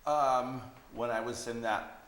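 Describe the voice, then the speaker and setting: A man's voice: mid-range voice, fairly even intonation, takes it slowly, fairly bright tone, roomy sound, slight background noise. A man talking casually and reflectively as an artist being interviewed, often acting out imagined bits of dialogue to explain himself.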